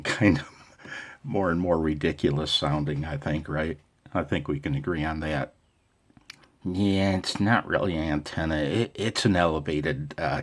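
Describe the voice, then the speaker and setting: Very low-voiced American man chatting casually. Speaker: amateur radio operator and video host. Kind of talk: casual off-the-cuff vlog chat.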